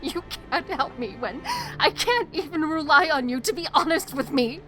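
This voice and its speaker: A woman acting a high-voiced, bitter, defiant young heiress. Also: expressive, moderate pace, bright, forceful tone, soft background music. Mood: angry, hurt. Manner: emotional, intense, accusatory. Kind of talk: audio drama acting.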